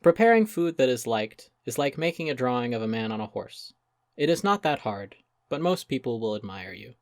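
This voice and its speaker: A man voicing a pompous, self-serious male avant-garde chef in a dramatic reading, portentous and full of pauses. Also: medium pitch, sing-song, no room sound, studio quality. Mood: lofty, declaring. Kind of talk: dramatic reading of an open letter.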